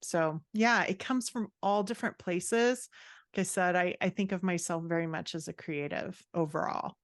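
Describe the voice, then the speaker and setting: A woman chatting casually as a podcast guest, with an upbeat, expressive, conversational way of talking. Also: lowish voice, moderate pace, no room sound, studio quality. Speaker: podcast guest, fantasy author. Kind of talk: casual interview chat.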